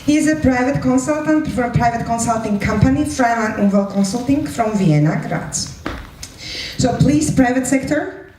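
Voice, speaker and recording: A woman's voice: a little high, expressive, moderate pace, a little mellow. A woman presenting live as a moderator, explaining in a didactic way and checking in with short questions. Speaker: presenter and moderator of a participatory one-act play. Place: echoing room at a live event. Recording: roomy, distant mic with faint noise.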